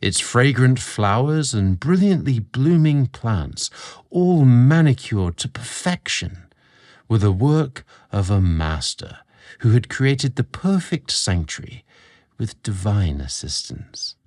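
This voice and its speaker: A man narrating a scripted romantic story in a dramatic, expressive storytelling manner. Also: medium pitch, slow pace.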